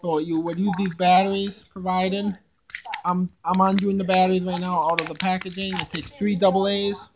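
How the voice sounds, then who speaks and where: A young man giving a casual unboxing demonstration, explaining things in a halting, repetitive way into a handheld camera. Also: high-pitched, flat delivery, vocal fry, heavy background noise. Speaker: hobbyist video maker. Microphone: handheld camera, no tripod.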